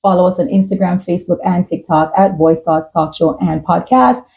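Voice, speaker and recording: A woman's voice: on the low side, steady tone, fairly quick, creaky, narrow, tinny audio. A woman hosting a podcast in a conversational, supportive way. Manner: affirming, supportive. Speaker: talk show host.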